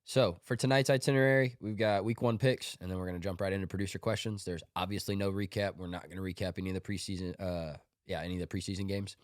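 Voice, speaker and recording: Male podcast host, low voice, slightly congested, dry close sound, offhand joking read. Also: fairly even intonation, quick pace, hardly any pauses, no room sound. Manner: offhand, joking, talks through his picks. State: congested from a cold. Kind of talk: casual sports podcast monologue.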